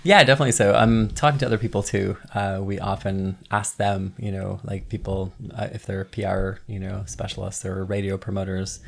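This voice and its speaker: A middle-aged American man, a band member, chatting in an interview in a relaxed, halting way with many fillers. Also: low voice, gravelly. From United States. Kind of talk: casual interview chat.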